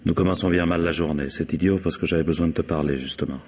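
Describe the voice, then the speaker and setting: An actor playing a weary, firm husband in old French radio drama style, measured and reproachful. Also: male voice, rumbling low, steady tone, darkish tone, in a large hall, a little hiss or hum. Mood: careful, subdued. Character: exasperated, firm husband, weary of his wife's suspicions.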